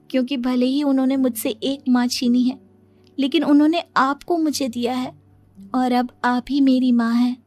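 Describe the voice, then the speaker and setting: A woman's voice: high voice, warm tone, lightly acted. A woman voicing a meek, affectionate young daughter-in-law in a moral story cartoon, pleading and deferential.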